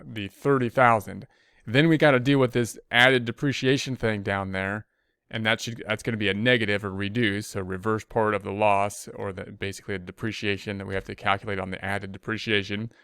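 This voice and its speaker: A man's voice: lowish voice, a bit bright, clean tone, no echo, studio quality. A man teaching an accounting lesson over a spreadsheet screen recording, thinking aloud with frequent restarts and fillers. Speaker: accounting instructor.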